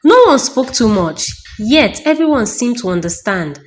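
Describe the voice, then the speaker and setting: A woman narrating a scripted dramatic story in measured short phrases with deliberate pauses. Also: fairly high pitch, sing-song.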